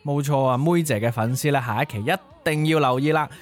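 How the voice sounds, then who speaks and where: A man's voice: medium pitch, a little fast. A man co-hosting a music nostalgia programme in a scripted narration style. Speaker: co-host of a Cantopop nostalgia show.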